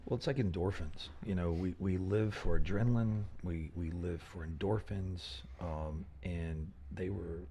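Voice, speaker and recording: A man hosting a casual live-stream interview, enthusiastic and loose, cursing now and then as he thinks out loud. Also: low voice, fairly even intonation, a little slow, hardly any pauses, a little hiss or hum. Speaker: host.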